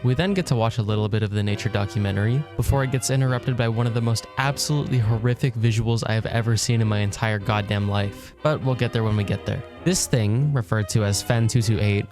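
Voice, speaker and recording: A man narrating a scripted video essay in an informal, wry way with casual asides and mild swearing. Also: mid-range voice. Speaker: video essayist, channel host.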